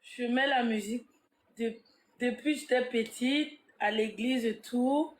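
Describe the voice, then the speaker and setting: A woman's voice: fairly high pitch, measured pace, reverberant, rough recording. A woman singer, born in the Congo and raised in Burkina Faso, giving short, slightly hesitant answers as an interview guest. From Burkina Faso, born in Kinshasa. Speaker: guest musician.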